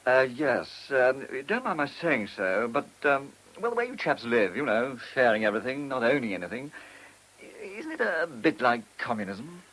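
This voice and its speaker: British police sergeant sidekick, lively, blunt and a bit cheeky, radio drama. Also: male voice, mid-range voice, normal speed, rough recording. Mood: defensive. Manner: informal, blunt. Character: detective sergeant, cheeky, matter-of-fact assistant to inspector. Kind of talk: radio play acting.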